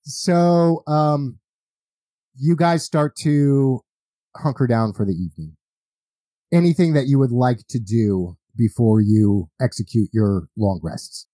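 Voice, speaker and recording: A man running a tabletop game as game master, narrating casually in a jokey, halting way. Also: mid-range voice, mellow, dry recording.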